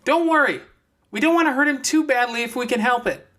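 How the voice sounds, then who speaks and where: A man voicing an excitable young girl in a deer mask for an audiobook, speaking in an urgent, conversational way. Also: very high voice, fairly quick, voice pushed up. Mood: calling out.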